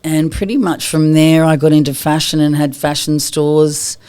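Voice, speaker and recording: Woman from Australia, husky smooth voice, lively studio guest. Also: low voice, normal speed. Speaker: guest, yoga teacher. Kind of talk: casual podcast interview.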